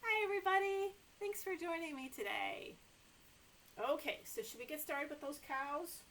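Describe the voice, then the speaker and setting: A woman's voice: high voice, normal speed, a bit bright, slight background noise, low-quality recording. A middle-aged woman hosting story time for small children, reading aloud and chatting in an exaggerated sing-song way. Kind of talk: read-aloud story time for toddlers, talking to young children. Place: small indoor room with sofa and toys.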